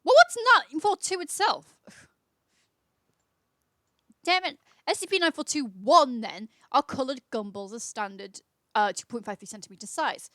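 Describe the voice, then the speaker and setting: A woman's voice: high voice, lively intonation, moderate pace, long pauses, fairly bright tone, dry recording, clean studio sound. A woman hosting a livestream, chatting casually and reading aloud informally, with small stumbles and self-corrections. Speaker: livestream host.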